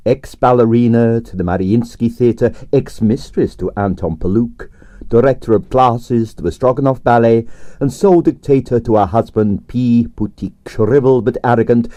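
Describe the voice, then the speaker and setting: A man's voice: medium pitch, dark tone, no room sound. Dry-voiced man narrating a comic mystery. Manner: dry, wry storytelling. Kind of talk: abridged audiobook narration.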